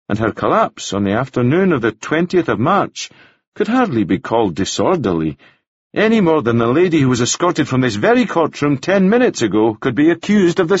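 A man's voice: a little high, husky, dry recording, highs cut off, pitched above the performer's own voice. A man voicing an earnest male defense lawyer giving formal, rhetorical courtroom oratory.

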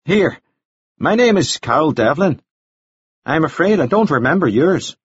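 A man voicing a genteel, talkative gentleman who speaks formally and politely, in a dull, dry recording. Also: on the high side, animated, measured pace, breathy edge, no echo, pitched above the performer's own voice, heavily acted. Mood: cordial. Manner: formal, flowery, solemnly polite.

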